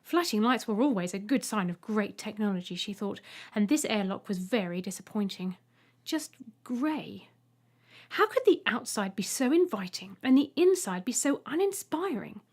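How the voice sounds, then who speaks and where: A woman reading a scripted short story as an audiobook narrator, with expressive storytelling. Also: medium pitch, moderate pace.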